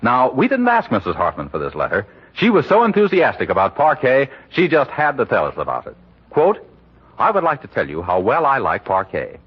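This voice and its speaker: A man delivering a scripted 1940s radio announcement with a polished, emphatic sales pitch. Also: huge pitch swings, fairly quick, husky. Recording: dull old radio broadcast recording.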